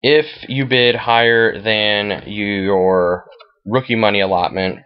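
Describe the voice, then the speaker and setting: A man with an American accent and a fairly low voice talks through something on his computer screen. He explains things informally and matter-of-factly. The recording is a bit dull and lo-fi. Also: fairly even intonation, slow pace, clean tone. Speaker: fantasy football league commissioner. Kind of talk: casual screen-share walkthrough.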